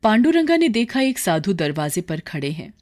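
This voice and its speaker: A woman's voice: medium pitch, sing-song, moderate pace, darkish tone. A woman storyteller reading scripted dramatic narration for a moral story.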